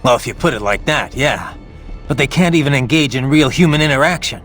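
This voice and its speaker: An actor playing a grim, weary, duty-bound cyborg soldier in a video game, with a gravelly voice and brooding, terse manner. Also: male voice, mid-range voice, brisk, a bit bright, soft background music, lightly acted. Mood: serious, wry.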